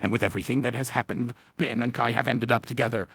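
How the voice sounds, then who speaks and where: A man's voice: medium pitch, fairly even intonation, fast, vocal fry, a bit bright, slightly rough, no room sound, clean studio sound, soft background music. A man reading scripted narration for a fan comic dub.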